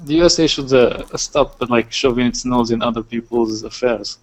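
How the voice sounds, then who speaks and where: A man from Morocco talks in English with a Moroccan accent. He is a native Arabic speaker in a casual conversation. He often pauses and restarts his sentences. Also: medium pitch, low-quality recording. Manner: hesitant, with frequent restarts and fillers. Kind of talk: casual chat.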